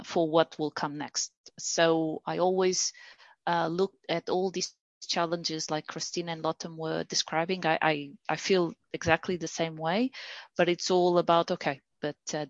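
A woman whose first language is not English, speaking as a panelist in a measured, reflective way with frequent pauses. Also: deep voice, no room sound, lo-fi sound. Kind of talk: panel discussion.

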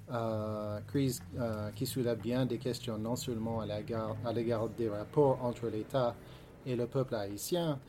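A historian whose French is not his first language, talking slowly through prepared remarks with frequent fillers and restarts. His voice is mellow and dark. A faint hum sits behind him. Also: mid-range voice. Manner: hesitant, many fillers and repeated words. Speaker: historian and author, panel guest. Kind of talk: academic panel discussion, partly reading prepared text.

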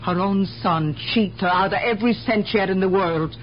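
A woman's voice: medium pitch, brisk, natural voice. A guarded, plain-spoken immigrant woman as a radio actress plays her, weary of the world and speaking in broken grammar. The old mystery broadcast is dull, with a faint bed of noise. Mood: confiding, resigned. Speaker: actor in a radio drama. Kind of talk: 1970s radio mystery acting. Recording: dull, noisy 1970s radio broadcast recording.

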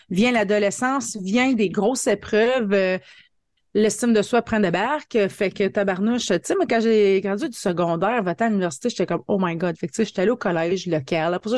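A woman's voice: mid-range voice, no echo. Chatty Franco-Ontarian woman, dry close sound. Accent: Franco-Ontarian French. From northern Ontario, Canada. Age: 30s. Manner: colloquial, peppered with English words. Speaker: podcast guest, marketing coach. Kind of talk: casual conversational storytelling.

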